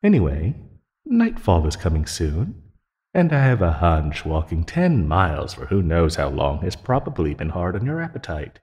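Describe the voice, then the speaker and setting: Guy doing a super deep slow naga predator for asmr roleplay, smug teasing and menacing, big echoey reverb. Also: wildly swooping pitch, exaggerated voice. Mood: playful menace. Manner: slow, taunting, condescending, with soft chuckles and sighs. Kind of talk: ASMR roleplay audio drama. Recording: long hollow reverb.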